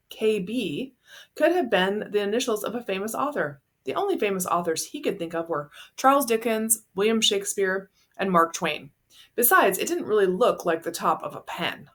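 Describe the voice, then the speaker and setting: A woman's voice: medium pitch, expressive, normal speed. A woman narrating a children's novel, reading it aloud as a storyteller.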